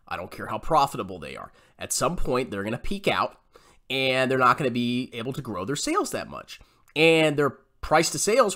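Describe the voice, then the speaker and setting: A man's voice: medium pitch, pushed and bright. A man giving blunt, exasperated, sarcastic reaction commentary in a small room at home. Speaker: YouTube host reacting to a video.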